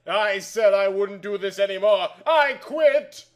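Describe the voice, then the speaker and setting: A man's voice: high voice, a little slow. A man voicing a distraught, melodramatic young anime girl in a story reading. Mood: desperate. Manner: melodramatic, overwrought.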